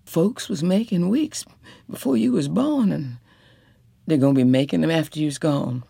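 A woman voicing a tender, anxious young wife with a husky drawl. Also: deep voice, normal speed, warm tone, no room sound, pitched above the performer's own voice, full character voice.

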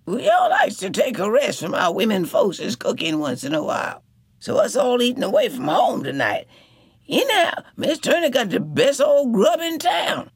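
A man voicing an easygoing diner regular who drawls slowly and won't give up his seat. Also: extremely high pitch, wildly swooping pitch, creaky, slightly rough, no echo, voice pushed up, full character voice. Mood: cheerful.

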